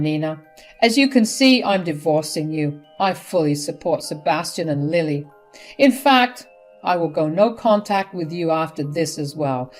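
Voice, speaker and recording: A woman's voice: fairly low pitch, a little mellow, lightly acted. A stern middle-aged husband scolds in a firm, angry voice, as a woman narrator puts him on. He sounds fed up and unbending. Faint music sits under the reading.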